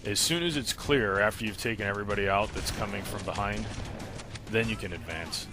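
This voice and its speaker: Low-voiced man giving casual game walkthrough commentary. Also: brisk. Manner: explanatory, step-by-step. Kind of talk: casual instructional gameplay commentary. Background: game gunfire and music.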